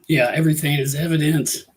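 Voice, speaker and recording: A hoarse man with a Midwestern American accent, fighting a cold and clearing his throat as he hosts a casual live show, rambling with lots of fillers and reading viewer comments. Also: on the high side, darkish tone. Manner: rambling, many fillers like "you know" and "uh". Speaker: ghost hunting talk show host.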